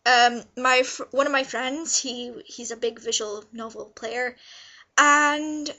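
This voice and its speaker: Young female vlogger, notably high and bright voice, informal rambling enthusiasm for a casual review. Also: steady tone, slow pace. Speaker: vlogger reviewing visual novels. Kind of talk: casual review chat to camera.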